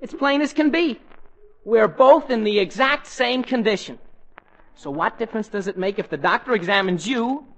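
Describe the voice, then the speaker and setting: Teenage boy, lovestruck schemer, slangy exclamations, medium pitch, vintage radio comedy style. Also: lively intonation, normal speed, fairly bright tone, low-quality recording. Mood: scheming. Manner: exclamatory, slangy, argues with himself. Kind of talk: 1940s radio comedy acting. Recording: old 1940s radio broadcast recording.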